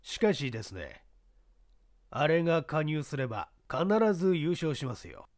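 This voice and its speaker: A man voicing a shrewd baseball talent scout who talks in a measured, persuasive way in an audiobook. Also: on the high side, sing-song.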